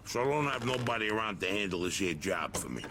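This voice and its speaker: A man's voice: on the low side, sing-song, quick pace, noisy background. An actor playing a crooked, bullying mob foreman in a video game cutscene, crude and threatening. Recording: game audio with music behind.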